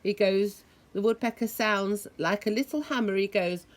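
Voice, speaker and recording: A woman reading a children's story aloud in a deliberate, expressive way, imitating the sounds it describes. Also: mid-range voice. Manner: deliberate, acts out noises expressively.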